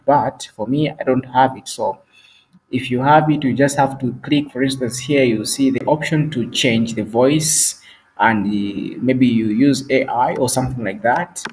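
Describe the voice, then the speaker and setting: Male tutorial host, plain step-by-step explainer, mid-pitched, faint hiss under a desk mic. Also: darkish tone, poor audio. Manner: plain explanatory, walking through steps. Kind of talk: screen-recorded software tutorial.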